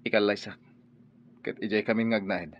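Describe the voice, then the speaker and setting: A man's voice: on the low side, fairly even intonation, normal speed, dull audio, slight background noise, lightly acted. A man with a Filipino accent playing an earnest, defiant grown son in love, in an Ilocano radio drama. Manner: earnest, insistent. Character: defiant, lovestruck grown son standing his ground.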